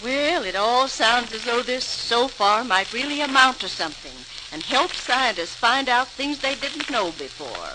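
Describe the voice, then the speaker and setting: A woman's voice: fairly high pitch, expressive, a little slow, hardly any pauses. An actress playing a cheerful, homely middle-aged mother in old-time radio drama, curious and a little puzzled. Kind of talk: 1940s radio drama acting. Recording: noisy, crackling old radio transcription.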